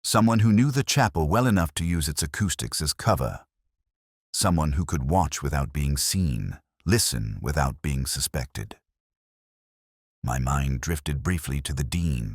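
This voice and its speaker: A man playing a weary, brooding older police inspector, narrating his case in a measured, solemn, deliberate way. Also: very deep voice, breathy edge, no room sound, studio quality, exaggerated voice. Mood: brooding, watchful. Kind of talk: scripted first-person mystery narration.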